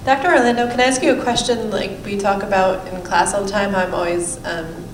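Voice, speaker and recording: A woman's voice: medium pitch, steady tone, brisk. A young woman, a student in the audience, asks an informal question tentatively, thinking it through as she speaks. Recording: echoey, distant mic with clear room noise.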